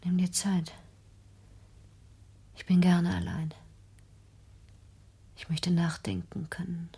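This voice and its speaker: A reclusive grown daughter in a radio drama, haunted by her own home. Her voice is low and very breathy, close to whispering, with an intense, brooding, fearful edge that turns to accusation. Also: sing-song, takes it slowly, mellow, full character voice. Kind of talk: radio play acting.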